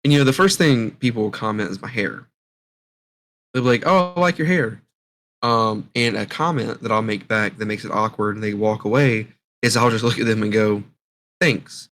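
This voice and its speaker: Low-voiced dry young guy. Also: normal speed, fairly bright tone, slight background noise. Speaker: podcast co-host. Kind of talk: casual chat.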